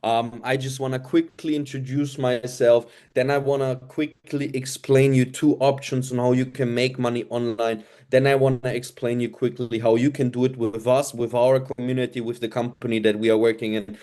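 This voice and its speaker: A man's voice: medium pitch, little pitch movement, normal speed, hardly any pauses, warm tone. A man in his twenties, originally from Italy, speaking earnestly on an online webinar and often repeating himself. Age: 20s. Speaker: webinar presenter. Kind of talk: casual online presentation.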